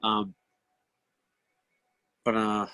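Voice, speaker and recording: A man's voice: fairly low pitch, monotone, extremely slow, bright, forceful tone, clear, resonant tone, dry recording. A man with a New England accent bantering casually as a livestream co-host, rambling and repeating himself. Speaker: podcast co-host.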